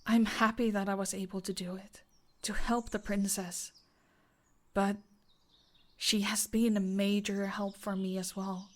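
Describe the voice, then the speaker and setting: A woman playing a modest, grateful farmer in a fantasy audio drama, gentle, polite and a little hesitant. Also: medium pitch, level tone, measured pace, lightly acted. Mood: sincere. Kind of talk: scripted fantasy audio roleplay.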